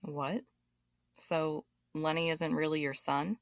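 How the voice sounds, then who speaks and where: An actress playing a sympathetic, inquisitive aunt who asks many questions. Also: female voice, sits low, level tone, slow pace, no echo, studio quality. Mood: surprised. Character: concerned, curious aunt. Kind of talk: audio drama acting.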